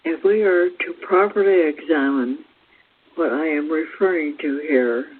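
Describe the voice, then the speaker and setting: A woman hosting and reading a spiritual book aloud in a deliberate, halting way, stumbling here and there. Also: low-pitched, a little hiss or hum. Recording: thin phone-like radio audio.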